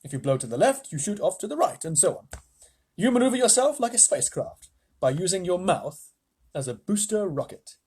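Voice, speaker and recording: A reader voicing an excitable, eccentric male chocolatier who lectures grandly, with a husky edge. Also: mid-range voice, brisk. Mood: showy. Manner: bouncy, theatrical, instructive.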